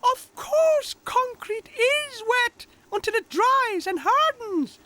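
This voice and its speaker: A man voicing an excitable, helpful little talking vehicle in a children's story, with an instructive, emphatic manner. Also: very high-pitched, slow pace, pitched above the performer's own voice, heavily acted. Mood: explaining.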